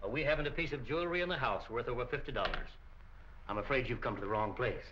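A smooth, evasive shop owner in an old crime film. His voice is crisp-edged and forward, his manner polished and formal, on a muffled soundtrack. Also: medium pitch, expressive, fast, sharp tone, slight background noise. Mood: politely dismissive. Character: smooth, evasive middle-aged store proprietor. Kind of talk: 1940s film acting.

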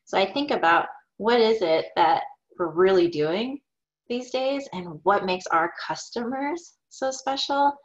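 A woman in her forties, a small business owner, answering interview questions over a video call from her home office in an upbeat, thoughtful way with some fillers. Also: mid-range voice. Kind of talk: conversational Q&A answers. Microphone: video call, webcam. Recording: dull lo-fi video call audio.

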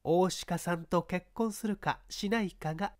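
A woman's voice: on the low side, normal speed, a little mellow, no room sound, clean studio sound, natural voice. A woman voicing a bold, teasing, defiant young woman in an audiobook drama. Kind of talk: audiobook character acting.

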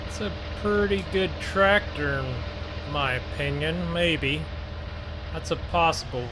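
A man with a slightly higher-pitched voice hosts a gameplay video in a casual role-play style. He talks very slowly and in a laid-back way, narrating his own actions to viewers. Clear engine and vehicle noise runs behind him. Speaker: gaming video host. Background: farming game vehicle and outdoor sounds.

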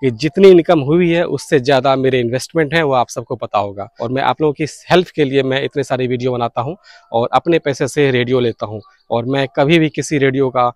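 A man's voice: mid-range voice, fairly quick, no room sound, studio quality. A man in his thirties talking earnestly and casually to his viewers, explaining things and mixing in English words. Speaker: radio hobbyist video blogger. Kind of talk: casual explanatory vlog to camera. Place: open balcony or rooftop outdoors beside fields. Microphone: clip-on lapel mic.

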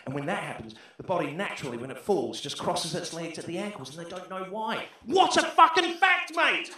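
New Zealand man, stand-up, high lively voice, bright edge, roomy club sound. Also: brisk. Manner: brash, sweary, shouts punchlines. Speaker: stand-up comedian. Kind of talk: stand-up comedy set. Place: comedy club stage, brick wall. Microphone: handheld mic. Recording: echoey club room.

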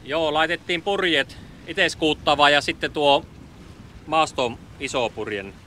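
A man's voice: on the high side, sharp tone. A middle-aged man from Finland casually narrating his sailing trip to an action camera, thinking aloud with plenty of fillers. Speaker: sailing vlogger. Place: outdoors on a sailboat cockpit under a canopy. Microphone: action camera mounted on the boat. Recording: wind and water noise behind.

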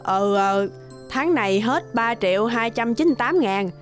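A woman's voice: fairly high pitch, expressive, moderate pace, soft background music, close to an everyday voice. A woman voicing a grumbling, impatient landlady in a cartoon, scolding bluntly. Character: grumbling, impatient landlady collecting overdue rent. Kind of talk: cartoon voice acting.

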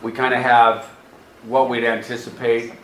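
A man's voice: mid-range voice, little pitch movement, normal speed, fairly bright tone. An American man giving an informal report and answering questions as a school district staff presenter at a meeting. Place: indoor public board meeting room. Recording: echoey meeting room, faint background noise.

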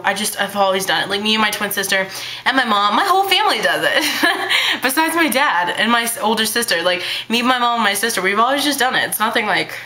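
A teenage girl vlogging to her webcam in her bedroom, talking fast and hyper in a childlike baby voice, full of fillers. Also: mid-range voice, sharp tone. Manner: bubbly, hyper, chatty with many fillers. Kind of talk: casual chat to camera. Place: small bedroom indoors. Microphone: webcam at arm's length.

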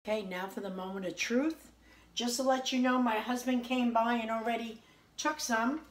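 A woman's voice: fairly high pitch, normal speed. Chatty woman cooking in her home kitchen. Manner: chatty, homey, tasting and praising the food as she goes. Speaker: home cooking show host. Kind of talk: casual cooking demonstration. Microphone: camera on the counter at arm's length.

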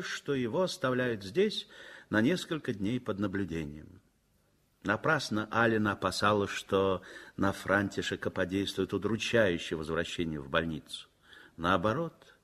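A man narrating a 1960s radio play in a measured, sorrowful way. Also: mid-range voice, fairly bright tone. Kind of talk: scripted literary narration. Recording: vintage 1960s radio recording with faint music.

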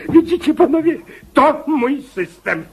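A man's voice: very high voice, heavily acted. A man acting in a radio comedy as a jealous, overprotective husband, stammering, fussy, pompous and self-righteous. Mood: excited, proud.

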